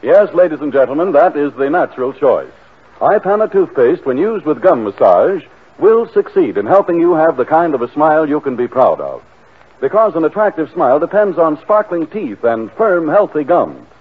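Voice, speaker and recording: A man's voice: fairly high pitch, big pitch swings, moderate pace, slightly rough, phone-quality sound. A man with an American accent announcing a 1940s radio commercial in an emphatic sales delivery. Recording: band-limited 1940 radio broadcast.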